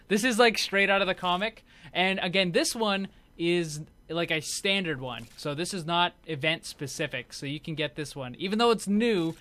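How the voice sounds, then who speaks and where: A man's voice: on the high side, sharp tone. A man giving casual, off-the-cuff commentary as host of a gaming showcase video.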